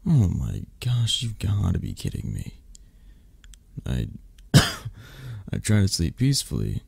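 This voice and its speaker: A man playing a sick, grumbling, needy mafia boss in an ASMR romance roleplay, soft, breathy and raspy, halting and plaintive. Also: low voice, wildly swooping pitch, no echo, full character voice. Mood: sulky grumbling.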